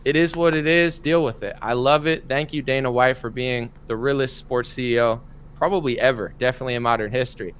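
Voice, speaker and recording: A man hosting a casual commentary chat, talking in an animated, opinionated way and acting out what others said. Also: medium pitch, brisk, clear, resonant tone. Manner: animated, opinionated, acts out quotes. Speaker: podcast host.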